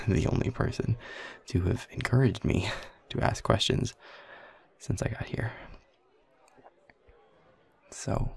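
A man playing a shy, creaky-voiced young coworker. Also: fairly low pitch, heavily acted. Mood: shy, sincere. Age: young adult. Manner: hesitant, flustered, stammering, nervous chuckles. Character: shy, self-deprecating young man, new office coworker with a crush. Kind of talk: intimate audio roleplay, one side of a conversation.

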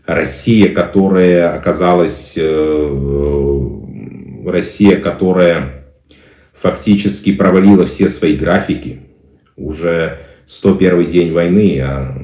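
A man's voice: low-pitched, dark tone, reverberant. A middle-aged man answering viewer questions on a live stream, with hesitant fillers and emphatic repetition. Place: small indoor room. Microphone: phone camera close-up.

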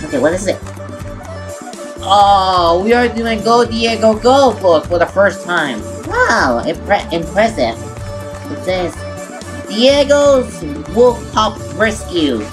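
A woman plays an excitable cartoon sea sponge who grumbles dramatically. The pitch swings widely over a slow pace, with game music playing behind. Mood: narrating. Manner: dramatic, complaining, exclaiming. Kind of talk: amateur character skit for a storytime video.

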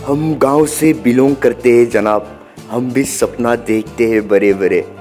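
A man's voice: medium pitch, a little slow, music in the background. A man giving an earnest voice-over between vlog clips, urging viewers to like and subscribe.

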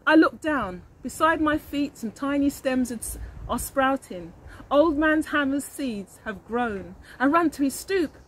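A middle-aged woman teacher with a fairly high voice tells a children's story. She reads expressively and talks straight to the children. She is recorded outdoors with some background noise. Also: expressive, measured pace, a bit bright, rough recording. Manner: addresses children directly, asks them questions. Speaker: early years teacher reading to children. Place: outdoors in a leafy garden.

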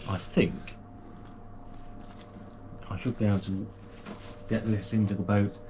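Man in his thirties, lowish voice, casual chatty unboxing, room noise behind. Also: level tone, slow. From United Kingdom. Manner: chatty and enthusiastic, with frequent fillers and restarts. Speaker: kayaking channel host. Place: small home gaming room, indoors.

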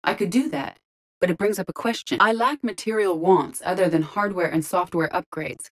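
A woman voicing a calm, logical, curious female artificial intelligence in a video game, with precise, measured, formal delivery. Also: fairly low pitch, lightly acted. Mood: composed, analytical. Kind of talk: video game character voice lines.

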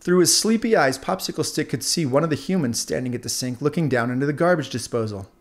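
A man narrating a scripted children's story aloud in an expressive storyteller's manner. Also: a little high, quick pace. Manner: expressive, animated.

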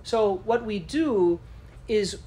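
A woman's voice: mid-range voice, expressive, takes it slowly, warm tone. Woman, conference lecture, casual, chatty, asks audience questions, faint background noise. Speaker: conference speaker. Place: conference room.

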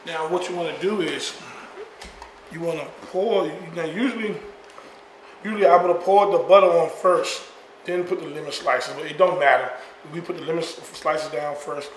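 A middle-aged man co-hosting a home cooking demonstration, talking in a relaxed, playful way with many fillers and repeated words. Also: a little high, normal speed, a little hiss or hum. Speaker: cooking show co-host. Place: home kitchen, indoors. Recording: echoey kitchen, faint ambience.

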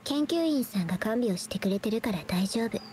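A woman playing a weary, injured and remorseful young woman painter in a Japanese anime game, breathy and subdued. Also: fairly high pitch, lively intonation, brisk, a little mellow, slight background noise, lightly acted. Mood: weary, grateful.

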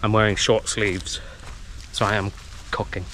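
A man's voice: on the low side, unhurried. Chatty man vlogging outdoors with noisy background. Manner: chatty, exclamatory, nervously excited. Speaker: travel vlogger. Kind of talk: casual walking commentary. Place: outdoors at an abandoned coastal military base in the heat. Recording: outdoor noise with handling clicks.

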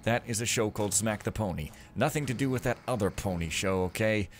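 A man's voice: on the low side, a little fast, slight background noise. A man giving casual, rambling commentary while playing a stealth game, full of sarcastic jokes and asides. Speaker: let's play commentator.

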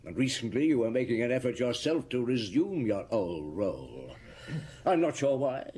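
An actor playing a shrewd, methodical chief inspector in a radio drama, probing and deliberate with a husky voice. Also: male voice, medium pitch, darkish tone. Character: shrewd, methodical male police chief inspector laying out accusations. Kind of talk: BBC radio drama acting.

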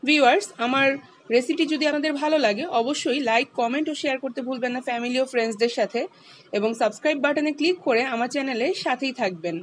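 A woman, calmly walking through a home cooking recipe step by step as the channel's host. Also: high voice, fast, a little hiss or hum, rough recording. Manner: calm, instructive, explains each step.

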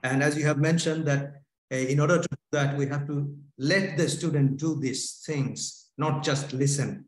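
A man's voice: on the high side, normal speed. A man chairing an online academic panel over a video call, hesitant with many filler sounds. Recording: echoey room on a video call.

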